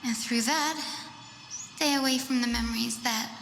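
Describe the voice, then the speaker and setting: High-voiced, gentle, wistful girl. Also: fairly even intonation, measured pace, gravelly, soft background music. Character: gentle, wistful young woman, tender-hearted. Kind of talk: dramatic game voice acting. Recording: echoey hall, faint ambient noise.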